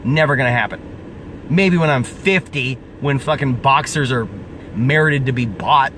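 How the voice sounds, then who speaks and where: A man's voice: mid-range voice, a little slow, a bit bright. Profane young man ranting about cars outdoors. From US Pacific Northwest. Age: 20s. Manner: profane, emphatic, repeats himself, laughs at own jokes. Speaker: car vlogger. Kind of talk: casual ranting vlog. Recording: outdoor recording, mic at a distance, traffic noise.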